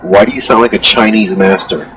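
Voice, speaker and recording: A man's voice: fairly low pitch, brisk, fairly bright tone, a little hiss or hum, low-quality recording. A man hosting a casual wrestling chat, joking and crude as he hands out questions. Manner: joking, crude, leads the talk. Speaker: host.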